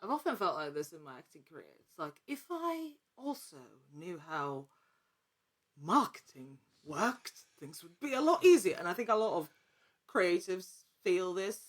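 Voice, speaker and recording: A woman's voice: fairly low pitch, sing-song, a little slow. A woman chatting casually as an interview guest, an actor talking in a conversational way. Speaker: podcast guest, actor and producer. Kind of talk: casual chat.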